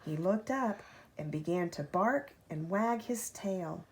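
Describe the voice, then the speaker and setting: A woman reading a children's picture book aloud like a parent at bedtime, with expressive, deliberate phrasing and drawn-out key words. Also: on the low side. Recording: home recording with faint background noise.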